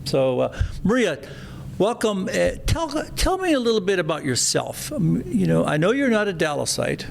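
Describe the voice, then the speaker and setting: A man's voice: high-pitched, very animated, normal speed, vocal fry, slight background noise. A middle-aged man, a plastic surgeon hosting his podcast, chatty and enthusiastic with frequent restarts. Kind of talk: podcast interview.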